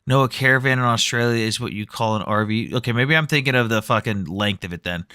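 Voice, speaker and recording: A man's voice: mid-range voice, fast, sharp tone, clean tone, no echo, clean studio sound. A man hosting a live stream, chatting casually and bluntly, with frequent swearing. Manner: blunt, profane, offhand.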